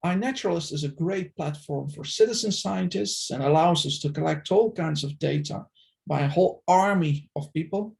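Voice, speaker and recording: Academic man, middle-aged, formal and deliberate, slightly higher voice with some gravel. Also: darkish tone. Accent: non-Filipino, foreign-accented English. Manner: measured, formal, deliberate delivery. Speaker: invited speaker, plant systematist. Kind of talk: prepared academic presentation.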